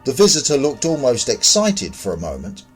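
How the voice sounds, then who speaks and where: A man's voice: medium pitch, wildly swooping pitch, normal speed, husky. Man narrating fiction over music. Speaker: audiobook-style story narrator.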